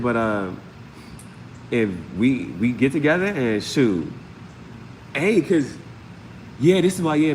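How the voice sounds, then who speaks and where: A man giving a casual, off-the-cuff live tarot reading as host, often repeating phrases and peppering his talk with slang and sound words. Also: mid-range voice. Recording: roomy long reverb, clear steady background noise.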